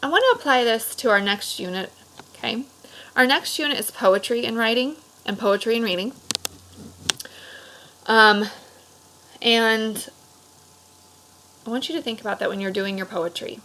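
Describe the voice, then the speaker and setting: A woman's voice: a little high, moderate pace, pauses often, pushed and bright, low-quality recording. A woman speaking as an elementary teacher, leading a discussion for children in simple, encouraging words with frequent questions and check-ins. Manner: simple explanatory phrasing aimed at children. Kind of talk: read-aloud discussion lesson for children.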